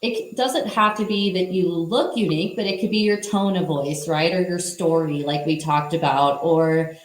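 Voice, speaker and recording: A woman's voice: lowish voice, moderate pace. A woman, a former teacher, explaining her ideas fluently on a conference panel and checking in with a frequent "right?". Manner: fluent, explanatory, often adds a questioning "right?". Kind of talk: conversational panel talk. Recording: roomy echo.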